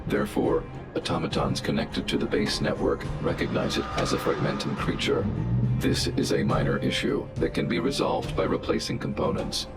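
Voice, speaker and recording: A man voicing a huge, calm, logical male robot who speaks in a flat, formal, machine-like way, in a game cutscene with loud music. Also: very deep voice, normal speed, creaky. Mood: cold, logical.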